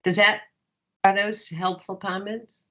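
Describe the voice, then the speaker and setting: An older American woman, a professor speaking off the cuff in an academic discussion, thoughtful and halting as she searches for words. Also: mid-range voice, flat delivery, pushed and bright. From United States. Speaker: professor teaching a masterclass.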